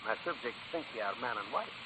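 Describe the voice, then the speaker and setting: An actor playing a suave, sinister island ruler with a mock-polite, theatrical manner in a 1940s radio drama. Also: male voice, medium pitch, close to an everyday voice. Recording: noisy old 1940s radio recording.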